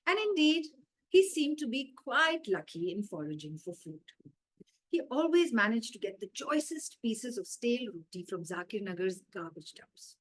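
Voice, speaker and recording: A woman with an Indian accent reading a children's story aloud in a measured, expressive way, with dramatic emphasis and pauses. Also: medium pitch, rough recording.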